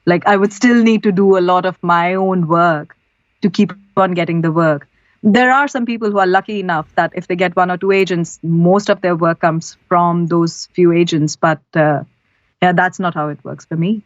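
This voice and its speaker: A woman's voice: lowish voice, steady tone, normal speed, no room sound. A woman from India, a voice-over artist, answering interview questions in an earnest, practical way. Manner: earnest, practical, gives advice step by step. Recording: slightly dull, lo-fi audio.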